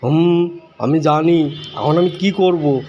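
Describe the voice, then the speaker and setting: A man voicing a gruff, bossy old lion king in a cartoon, full of threats. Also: fairly high pitch, takes it slowly, a little mellow, dull audio, soft background music. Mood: grudging.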